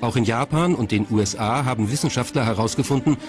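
Guy narrating a documentary, scripted read, mid-pitched voice, music going behind him. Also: quick pace. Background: background music.

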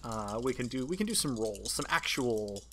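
A man running a tabletop game as game master, narrating and bantering off the cuff with hesitant, deliberate descriptive phrasing. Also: mid-range voice, lively intonation, fairly bright tone, a little hiss or hum.

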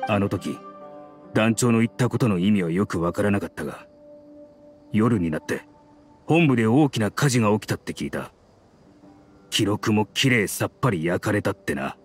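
A man voicing a grim, resolute mercenary in a video game, speaking in a rough, blunt and earnest dramatic manner. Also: lowish voice, lightly acted. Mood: grave. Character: grim, resolute mercenary man bent on avenging his leader. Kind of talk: dramatic anime-style game acting. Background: soft music.

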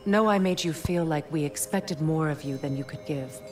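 An earnest female warrior leader from a video game, acted by a woman. Her voice is low for a woman, measured and dignified, with sincere encouragement. Music is clearly heard underneath. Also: lightly acted. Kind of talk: dramatic game acting.

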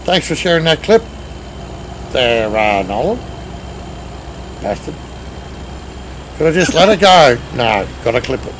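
A middle-aged man with a strong Australian accent chats through a headset while streaming, teasing his friends and thanking viewers by name. His voice sits a bit high, with a faint background hum. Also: big pitch swings, measured pace, pauses often. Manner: banters, thanks chat viewers, swears playfully. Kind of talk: casual gaming chat. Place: home gaming room, indoors.

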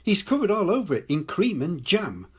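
Older man playing a brisk proper mum, raised pitch, husky, animated. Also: heavily acted.

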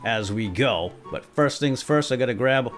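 Animated man playing and narrating a game. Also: medium pitch, soft background music. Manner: playful, exclaiming, repeats words in quick bursts. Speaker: gaming video host. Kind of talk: excitable role-play gameplay commentary.

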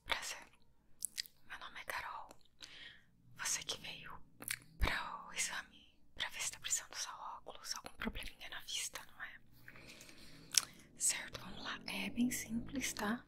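A young Brazilian woman whispering breathily in a slow, soft, reassuring ASMR roleplay as an optician, close to the microphone. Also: extremely low pitch, huge pitch swings. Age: young adult. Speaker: ASMR host playing an eye-test optician. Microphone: desk microphone, close up.

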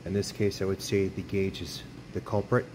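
A man's voice: low-pitched, level tone, normal speed, a little mellow, a little hiss or hum. A man working as a mechanic, explaining a repair step by step in a plain, matter-of-fact way from his garage workshop. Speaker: snowmobile mechanic. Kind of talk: hands-on repair tutorial.

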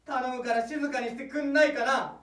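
A man's voice: very high voice, fairly even intonation, moderate pace, a bit bright. Man acting exasperated young climber, distant and echoey. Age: young adult. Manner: grumbling, exasperated retorts. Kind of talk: live stage sketch comedy. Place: small theatre stage, indoors. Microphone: far camera. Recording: distant, echoey theatre recording.

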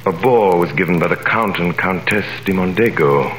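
A man narrating a 1930s radio drama in a measured, dramatic storytelling manner. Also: low-pitched. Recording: echoey vintage radio recording with music.

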